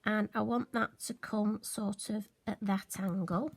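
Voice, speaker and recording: A woman, slightly hoarse and occasionally croaky, chatting through a crafting tutorial with friendly, self-deprecating asides. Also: medium pitch, steady tone, measured pace, slight background noise. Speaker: card-making demonstrator.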